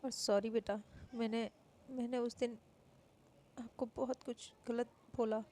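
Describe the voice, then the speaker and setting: A woman voicing a gentle, caring elder sister. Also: fairly high pitch, little pitch movement, a little slow, a little hiss or hum, close to an everyday voice. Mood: soft.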